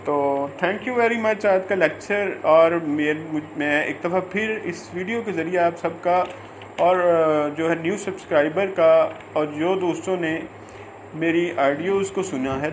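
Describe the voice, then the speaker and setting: A man with a Pakistani accent giving an informal law lecture, halting and repeating phrases as he reads out and explains. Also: on the high side, slight background noise. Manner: halting, repeats phrases, stretches out English words when reading. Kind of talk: informal lecture, reading English statute and explaining it.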